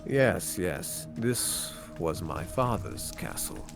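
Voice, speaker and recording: A man playing a solemn, courteous young god of death in a Minecraft roleplay, speaking formally and cryptically in a gravelly voice. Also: low voice, expressive, music in the background, exaggerated voice. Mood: formal, measured. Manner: slow, formal, vague speech.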